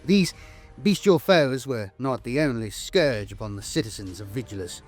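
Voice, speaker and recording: A man's voice: mid-range voice, expressive, normal speed, faint music behind. A man delivering dramatic scripted war-lore narration in a grave, theatrical, emphatic manner.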